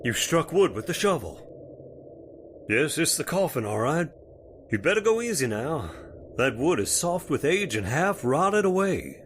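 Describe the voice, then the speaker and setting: An actor as a folksy judge, swooping intonation. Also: male voice, on the high side, big pitch swings, a little hiss or hum. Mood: grave. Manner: folksy, deliberate, hesitant politeness. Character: Judge Foster, folksy, genial, steady older man. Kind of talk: old-time radio horror acting.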